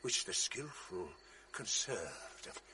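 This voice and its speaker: An actor playing a jealous noble general in a radio drama, grave and commanding with a resonant stage delivery. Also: male voice, low voice, sing-song, takes it slowly, noisy background, heavily acted. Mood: solemn. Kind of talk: 1980s radio drama acting.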